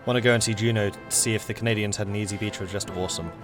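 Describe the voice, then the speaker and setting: A man's voice: on the low side, rapid-fire, over music. A young man with an English accent, casually chatting with viewers and reading game events aloud as he plays. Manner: relaxed, rambling, reads game events aloud. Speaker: streamer.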